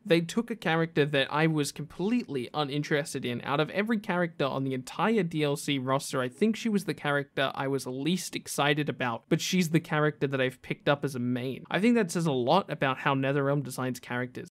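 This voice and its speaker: Higher-pitched man giving opinionated gaming commentary. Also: brisk, hardly any pauses. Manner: opinionated, conversational. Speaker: gaming video host. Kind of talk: casual top-ten list commentary.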